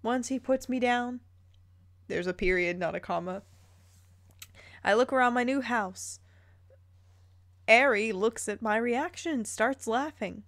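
Woman, fairly high voice, dry close recording, dramatic read-aloud, incredulous casual commentary. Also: a little slow, pauses often, fairly bright tone, dry recording. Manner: incredulous, playful asides. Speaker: commentator reading fan fiction aloud.

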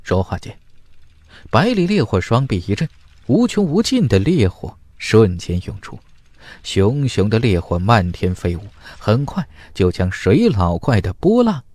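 A man's voice: fairly low pitch, a little mellow, no echo. A man reading a fantasy audiobook as narrator, in a dramatic scripted storytelling style.

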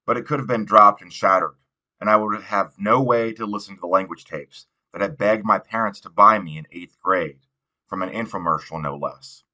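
A man's voice: lowish voice, normal speed, bright, forceful tone, lo-fi sound. A man narrating a young adult novel as an audiobook reader.

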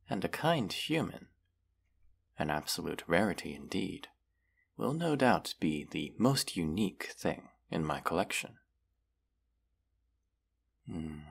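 A man's voice: sits low, vocal fry, slightly rough, heavily acted. A man voicing a proud, mighty dragon in a fantasy roleplay, haughty and formal in manner. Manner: haughty, deliberate, formal phrasing with menacing undertone. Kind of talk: fantasy roleplay audio acting.

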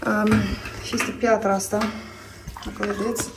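A woman in her thirties from Romania, chatting casually through her cleaning while filming on her phone at home, pausing often with fillers as she works. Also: mid-range voice, level tone, gravelly, noisy background. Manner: chatty, talks while doing chores, frequent pauses and fillers. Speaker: family vlogger. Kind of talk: casual clean-with-me vlog narration. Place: family home, indoors. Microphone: phone camera.

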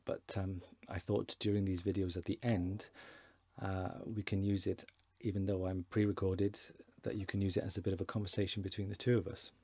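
A man in his forties, a fluent Welsh speaker, giving a relaxed, chatty beginner lesson from his desk with hesitations and repeated words. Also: low voice, brisk, husky, dry recording. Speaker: Welsh language teacher. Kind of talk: casual online lesson.

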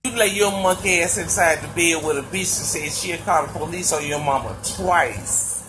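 A woman's voice: fairly low pitch, normal speed, background noise. A woman in a video clip, ranting in a blunt, defiant way with a lot of cursing. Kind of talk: blunt casual rant.

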